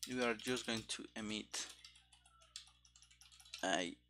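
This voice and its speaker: Casting: male programmer narrating live coding, unhurried and halting, creaky but bright voice, faint noise with keyboard clicks. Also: fairly low pitch. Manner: halting, muttering to himself. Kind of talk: casual thinking-aloud coding commentary. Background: computer keyboard typing and clicking.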